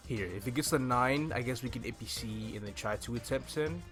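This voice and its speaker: A young adult man hosts a casual gaming walkthrough at an average male pitch. He thinks aloud in an easygoing way, close on a desk mic. Game music plays along behind his voice. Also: brisk, fairly bright tone. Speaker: gaming video host. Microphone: desk microphone close to the mouth.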